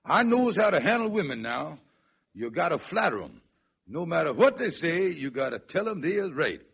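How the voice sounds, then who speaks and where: A man playing a scheming, henpecked husband in old-time radio comedy, with a raspy voice and excitable, wheedling, folksy ungrammatical speech. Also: on the high side, normal speed, exaggerated voice. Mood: resolved. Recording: vintage radio broadcast recording.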